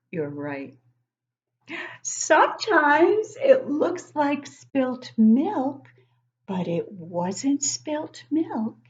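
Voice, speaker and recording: A woman's voice: on the low side, darkish tone, dull audio. A middle-aged woman hosting an interactive picture-book read-aloud for young children, encouraging them with questions and exclamations. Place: living room at home, indoors. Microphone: webcam at desk distance.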